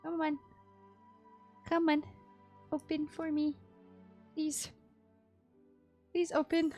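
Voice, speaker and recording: A woman's voice: sits high, monotone, slow, darkish tone, soft background music. A woman livestreaming a game, chatting casually in a playful, giggly, teasing way. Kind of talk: casual chat while playing.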